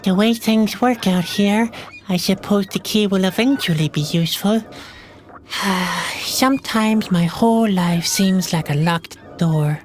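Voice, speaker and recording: Gloomy, slow-talking young clown. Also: male voice, high voice, slight background noise. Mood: musing, wry. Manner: deadpan, self-deprecating, slow. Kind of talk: video game character dialogue.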